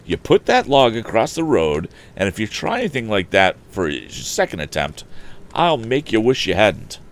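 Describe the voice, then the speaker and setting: Man acting young storybook hero, raised pitch, earnest, emphatic, very animated. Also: brisk. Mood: determined. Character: plucky, determined young male inventor, storybook hero.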